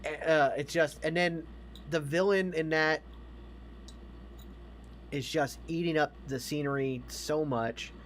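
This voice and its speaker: A man's voice: on the high side, steady tone, takes it slowly, long pauses, slight background noise. An American man in his thirties, a podcast co-host chatting casually and emphatically about films, with plenty of swearing.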